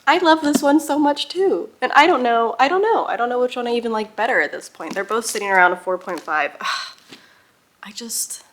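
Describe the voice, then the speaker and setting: A woman's voice: a little high, animated, normal speed, a bit bright, a little hiss or hum. A young woman chatting casually to viewers as a book vlogger, with a gushing, giggly and emphatic manner. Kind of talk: casual reading vlog chat.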